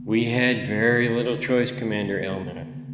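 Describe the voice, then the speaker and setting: A man's voice: on the low side, steady tone, moderate pace, fairly bright tone, a little hiss or hum, natural voice. A man playing a grave, hopeful old refugee elder and grandfather in an audio drama, solemn and earnest. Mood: grave, worried. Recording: echoey hall reverb, faint music.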